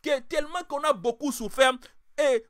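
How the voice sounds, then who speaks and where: A male preacher giving a passionate sermon in French, though he now speaks English more. He swings from quiet talk to loud, forceful preaching. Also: extremely high pitch, normal speed, no echo, clean studio sound. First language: French, now mainly English-speaking. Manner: impassioned, swings from quiet to shouting.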